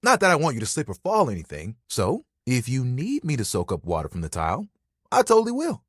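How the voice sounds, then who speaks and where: Casting: eager, self-conscious towel character for a dating game. Very animated pitch swings, slightly husky, casual rambling delivery. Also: male voice, fast, no room sound, clean studio sound. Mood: awkward, flustered. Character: talking bath towel, bashful, eager, laid-back young guy. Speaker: video game voice actor.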